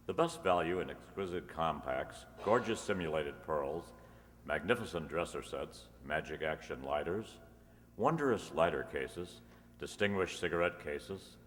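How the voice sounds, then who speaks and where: Man playing deep-voiced old-time radio announcer, echoey hall. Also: fairly bright tone. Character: polished male radio announcer and pitchman. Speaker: show announcer. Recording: echoey hall with audience noise. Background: audience in the hall.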